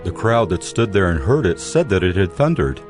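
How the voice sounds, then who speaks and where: A man giving scripted Bible narration as a measured storyteller. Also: deep voice, very animated. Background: music.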